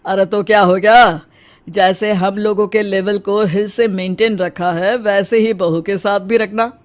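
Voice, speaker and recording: A woman voicing a middle-aged husband in a cartoon story, calm, supportive and reasoning. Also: mid-range voice, measured pace, lightly acted. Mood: reassuring. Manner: reassuring, reasoning.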